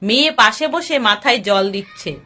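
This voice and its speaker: A woman's voice: fairly high pitch, expressive, normal speed, pushed and bright. A woman narrating a scripted moral folk story.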